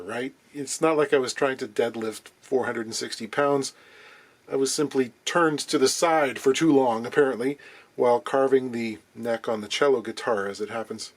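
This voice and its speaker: A man hosting his guitar repair vlog from his workshop, talking in a wry, chatty, digressive way. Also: mid-range voice. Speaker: guitar repair luthier and channel host.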